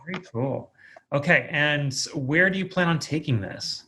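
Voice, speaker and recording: Higher-voiced man, casual podcast interviewer. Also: animated, unhurried, sharp tone. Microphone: online video call recording.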